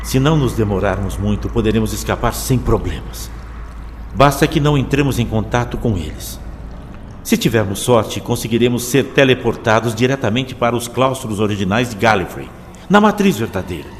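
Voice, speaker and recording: An actor playing a serious, cautious and dryly fatalistic male guide in an audio drama, speaking gravely. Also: on the low side, animated, moderate pace, a little hiss or hum, close to an everyday voice. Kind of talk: scripted audio drama acting. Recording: long reverb under music.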